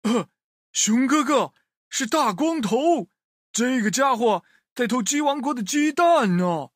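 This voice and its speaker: A man's voice: extremely high pitch, expressive, takes it slowly, no room sound, studio quality, pitched above the performer's own voice, full character voice. A man voicing a timid younger brother bear in a children's story, hesitant and anxious. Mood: alarmed.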